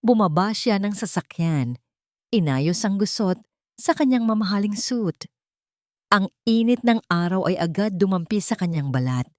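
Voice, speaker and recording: A Filipino woman reading a scripted love story in a measured, dramatic, sentimental way. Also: mid-range voice, lively intonation, dry recording, clean studio sound.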